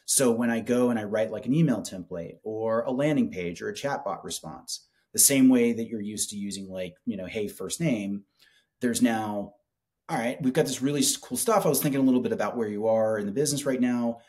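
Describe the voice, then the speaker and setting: Enthusiastic man with a lowish voice. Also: fairly quick, darkish tone. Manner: enthusiastic, colloquial. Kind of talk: casual product pitch in conversation. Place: small office with whiteboard, indoors. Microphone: video call.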